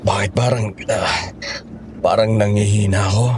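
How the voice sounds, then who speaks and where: Man acting a low-voiced, weary Filipino cook. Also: fairly even intonation, normal speed, noisy background, natural voice. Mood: weakening. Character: weary, hungry, cautious man hired as cook.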